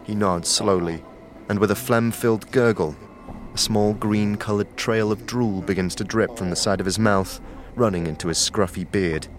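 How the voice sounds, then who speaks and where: A low-pitched man narrates a fantasy audio drama. His scripted delivery is measured and descriptive. Music plays underneath his voice.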